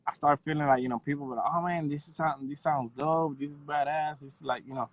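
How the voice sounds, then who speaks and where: A man in his thirties from Puerto Rico, a guest audio engineer chatting in a relaxed, modest way with soft laughs and lots of "you know". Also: fairly high pitch, fast, no room sound. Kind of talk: casual interview chat.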